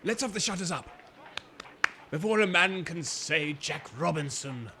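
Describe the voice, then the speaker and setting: An actor playing a jovial, hearty old merchant in an audio drama, calling out in exclamations. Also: male voice, lively intonation, measured pace, background noise. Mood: jolly, exuberant. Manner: booming, exclamatory calls.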